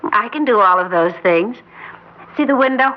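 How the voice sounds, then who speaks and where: A woman's voice: fairly high pitch, expressive, normal speed, close to an everyday voice. A woman voicing an earnest, conspiratorial young girl in old-time radio drama style. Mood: solemn, earnest. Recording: muffled, very noisy old radio recording.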